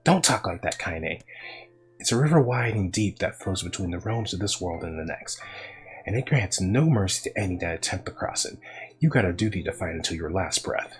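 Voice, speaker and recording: A man doing a gruff, vulgar old grandmother. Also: deep voice, lively intonation, fairly quick, soft background music. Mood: stern tender. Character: fierce vulgar old grandmother, tough, rough-spoken.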